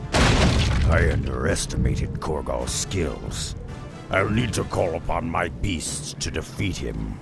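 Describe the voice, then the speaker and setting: An actor playing a stoic, proud half-ogre warrior in a video game, speaking solemnly and deliberately. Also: male voice, a bass voice, animated, creaky, music in the background, full character voice. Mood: grim. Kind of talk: video game cutscene acting.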